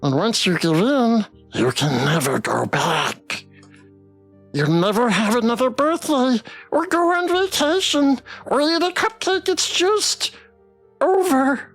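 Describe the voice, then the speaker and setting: A woman's voice: a little high, big pitch swings, slow, faint music behind, pitched above the performer's own voice, heavily acted. A woman voicing a small, frightened old toy from a visual novel, giving urgent, dramatic warnings. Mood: grave warning. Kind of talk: visual novel character voice read aloud.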